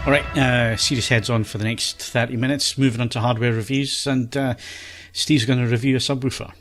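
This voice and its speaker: A man's voice: medium pitch, fairly even intonation, brisk, fairly bright tone, faint music behind. A man hosting a podcast, casually introducing and linking segments. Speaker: podcast host and presenter.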